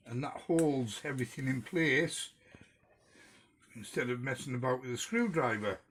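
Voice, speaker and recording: Slow-talking man, slightly husky. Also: mid-range voice. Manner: unhurried, step-by-step, informal. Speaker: toy repair demonstrator. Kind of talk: hands-on tutorial, casual explanation.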